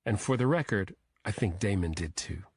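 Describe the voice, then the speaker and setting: A man's voice: lowish voice, animated, moderate pace, slightly rough, dry recording. A man voicing a modest, tired policewoman with an understated manner in audiobook dialogue. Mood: modest protest.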